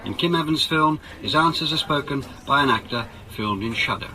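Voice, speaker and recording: A man playing a formal television arts presenter, delivering a scripted introduction and question with deliberate authority. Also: medium pitch, expressive, pushed and bright, low-quality recording.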